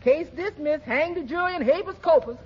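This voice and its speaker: An actress playing a sassy, no-nonsense household cook, folksy and bantering, in 1940s radio comedy style. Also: female voice, sits high, brisk, close to an everyday voice. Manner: folksy, bantering, nonstandard grammar. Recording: muffled, noisy old radio recording.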